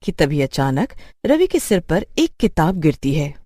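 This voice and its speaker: A woman's voice: sits low, very animated, a little slow, dark tone, no room sound, studio quality. A woman reading scripted narration for a children's cartoon story as its narrator.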